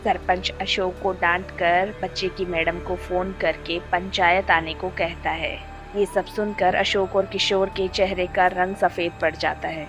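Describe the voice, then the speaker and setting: A woman's voice: lowish voice, level tone, measured pace, over music. A woman narrator reading a scripted moral story.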